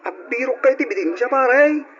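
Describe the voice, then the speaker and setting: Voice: sits high, expressive, normal speed, fairly bright tone. An actor playing a skeptical, concerned close friend in an Ilocano radio drama. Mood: concerned, doubting. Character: skeptical, concerned close friend urging caution over gossip. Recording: muffled, noisy old radio recording.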